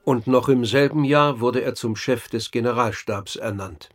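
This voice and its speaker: A man narrating a scripted radio documentary in a measured, articulate, essayistic way. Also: medium pitch, faint music behind.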